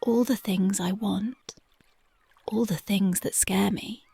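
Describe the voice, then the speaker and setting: A voice actress playing a young punk girlfriend, speaking softly and whispering in a dreamy, intimate, affectionate ASMR roleplay close to the mic. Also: medium pitch, steady tone, takes it slowly, creaky, breathy edge, exaggerated voice. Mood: tender dreamy. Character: young punk woman, tender devoted girlfriend.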